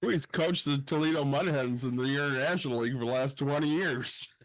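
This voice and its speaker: A man's voice: medium pitch, fairly quick, low-quality recording. A man in his forties co-hosting a podcast, joking and teasing in casual banter. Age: 40s. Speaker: podcast co-host.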